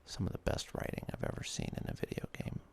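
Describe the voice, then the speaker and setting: A person whispering laid-back, halting commentary over a video game in an ASMR style, as the host of a gaming video. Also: a little mellow, a little hiss or hum.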